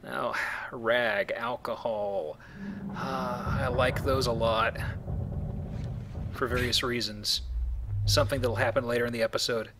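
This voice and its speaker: A man's voice: medium pitch, measured pace, creaky, long pauses, noisy background. A man hosting a let's play with casual, explanatory game commentary and joking asides.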